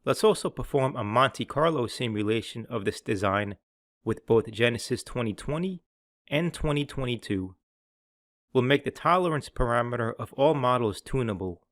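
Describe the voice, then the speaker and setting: A man's voice: medium pitch, lively intonation, normal speed, fairly bright tone, no room sound. Man narrating a tutorial, dry close sound. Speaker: presenter of a software tutorial. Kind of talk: scripted instructional narration.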